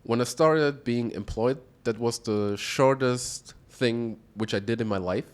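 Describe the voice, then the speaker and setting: A man's voice: medium pitch, measured pace. A man from Austria, a strategic advisor, talking casually as a podcast guest and acting out voices in his stories. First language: German. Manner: animated storyteller, acts out voices in anecdotes.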